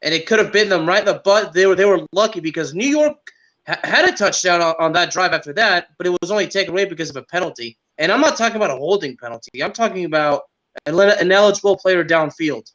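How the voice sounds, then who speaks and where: High-voiced man talking sports casually. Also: expressive, fast, fairly bright tone. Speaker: sports podcast co-host. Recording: dull, lo-fi sound.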